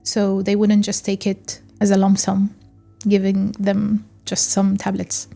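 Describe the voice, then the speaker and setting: A woman from Egypt hosting a solo podcast, speaking in a calm, measured way with pauses and fillers. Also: mid-range voice, creaky, faint music behind. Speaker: podcast host. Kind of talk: reflective solo podcast talk.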